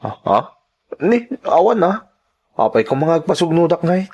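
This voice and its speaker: A man's voice: a little high, sing-song, moderate pace, darkish tone, muffled recording. A man playing a persistent young boyfriend in an Ilocano radio-style drama, speaking in a casual, cajoling way. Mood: defensive.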